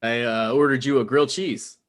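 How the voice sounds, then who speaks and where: A man plays a petty, struggling male writer in a comedy table read. He is defensive and hesitant, speaking at a middling pitch. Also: steady tone, fairly quick, lightly acted.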